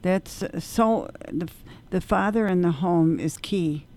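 A woman's voice: fairly low pitch, slow pace, warm tone. A woman co-hosting a radio interview who chimes in with brief remarks. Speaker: radio co-host.

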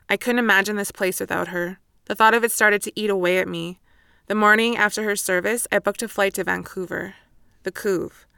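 A guarded young woman in an audio drama, talks in a casual, wry way that cuts back on itself. Her voice is clear and creaky, recorded dry and close. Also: medium pitch, pushed and bright, no echo. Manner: casual, wry, self-interrupting. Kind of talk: naturalistic audio drama monologue and dialogue.

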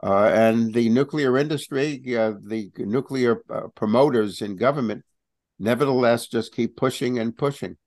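A man talking as a guest on a video call, halting with many pauses and restarts. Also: medium pitch, darkish tone, dry recording, clean studio sound. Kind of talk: casual talk over video call.

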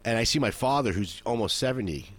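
A man's voice: mid-range voice, lively intonation, quick pace. A man in his forties in a casual, rambling chat, swearing freely and acting out what others said. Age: 40s. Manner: rambling, profane, acts out quoted voices.

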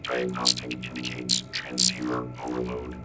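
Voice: moderate pace, over loud music. A performer voicing an emotionless shipboard droid in a robotic processed voice, giving clipped status reports in a science fiction audio drama. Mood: flat, mechanical. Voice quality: very deep, robotic monotone. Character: emotionless shipboard diagnostic droid named Sid.

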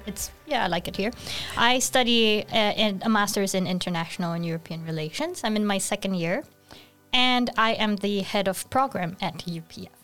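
A woman's voice: mid-range voice, moderate pace, fairly bright tone, soft background music. A young woman from Singapore answering casually as a student guest in an interview. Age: young adult. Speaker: podcast guest, student board member.

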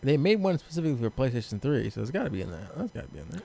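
Animated man bantering bluntly, pitch jumping around. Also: rapid-fire, gravelly, husky. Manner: blunt, profane, digressive. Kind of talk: casual chat about video games.

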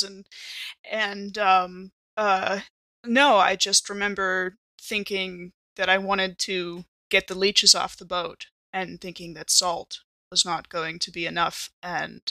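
A woman's voice: mid-range voice, flat delivery, unhurried, pushed and bright, no room sound. A woman improvising casually in character as a player in a tabletop horror game.

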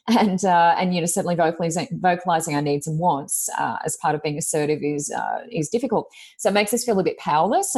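A woman, co-hosting a casual talk podcast, speaking loudly and expressively as she reflects on her own experience. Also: fairly low pitch. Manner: loud, expressive, self-reflective. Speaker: podcast co-host, coach. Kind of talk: casual chat.

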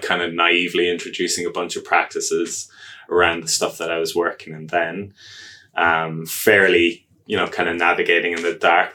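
An Irish man with a low voice and a bright, forceful edge, talking through his career as a podcast guest. He speaks casually, with frequent ums and self-corrections, and is wry about himself. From Ireland. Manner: chatty, self-deprecating, many ums and restarts. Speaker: guest, agile coach.

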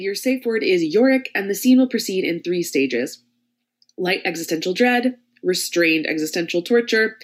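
A woman's voice: medium pitch, pitched above the performer's own voice, natural voice. A woman voicing a commanding, icy female dominatrix for hire who speaks in a cool, clipped, controlling way. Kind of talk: dramatized reading of parody fiction.

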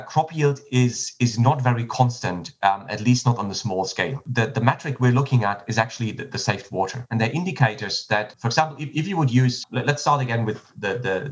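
A man from Germany giving measured, explanatory answers in a podcast interview, often restarting his phrases. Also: mid-range voice, muffled recording.

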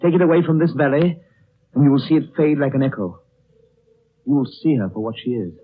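An actor playing a grave, world-weary, dreamy English gentleman with a solemn, measured delivery in 1930s radio drama style. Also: medium pitch, slightly rough, lightly acted. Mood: solemn, wistful. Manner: slow-weighted, solemn, measured. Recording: muffled, phone-like 1930s radio broadcast.

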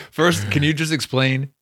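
A man's voice: on the high side, a bit bright, no room sound, studio quality. A young man hosting a podcast interview, upbeat and eager, often laughing. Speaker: podcast host. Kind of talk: casual interview.

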